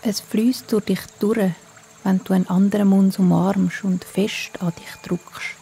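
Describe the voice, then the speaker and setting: A woman with a Swiss German accent, telling a bedtime story in a soft, hushed, soothing way. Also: mid-range voice, fairly even intonation, measured pace, creaky, a little hiss or hum.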